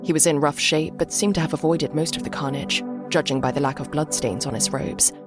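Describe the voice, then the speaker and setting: A woman's voice: sits low, steady tone, brisk. A woman reading a dramatic story as an audiobook narrator. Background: background music.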